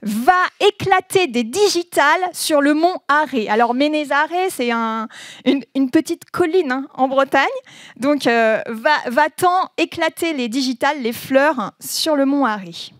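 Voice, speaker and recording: A woman giving a relaxed presentation, reading proverbs aloud and explaining them with frequent laughter. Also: sits high, no echo, clean studio sound. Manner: slow, laughing often, says proverbs then explains them. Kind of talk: informal presentation with translations.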